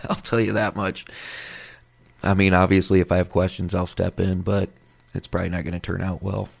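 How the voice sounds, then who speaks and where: A man hosts a laid-back podcast conversation in a worn, hoarse voice that is losing its strength. His voice sits very low with a gravelly fry and stays flat in pitch, and he keeps his turns brief. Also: moderate pace. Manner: low-key, brief, laughs along. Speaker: podcast host. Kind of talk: casual true crime podcast chat.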